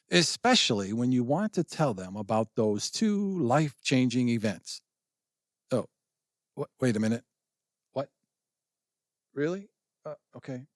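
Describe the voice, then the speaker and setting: A man's voice: medium pitch, no room sound, clean studio sound. A man playing a flustered show announcer, delivering a comic scripted introduction to an audio play. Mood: baffled. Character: flustered show announcer, repeatedly corrected and baffled.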